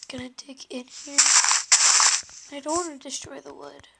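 A woman's voice: high voice, level tone, moderate pace, vocal fry. A woman with a sore, scratchy throat, chatting through a game in a hesitant way with many ums, sometimes dropping to a whisper. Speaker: gameplay commentator. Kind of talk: casual game commentary. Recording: loud background hiss with tapping clicks.

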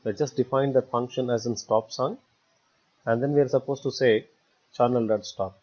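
A man narrates a software tutorial step by step. His voice sits at an average pitch, and he speaks in halting, repetitive short chunks. The recording is somewhat dull and lo-fi. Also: steady tone. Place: voice-over for a screen recording.

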